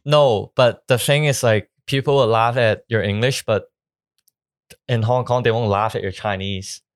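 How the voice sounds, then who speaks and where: A man in a casual group conversation who talks in a plain, measured way and asks the others pointed questions. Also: medium pitch.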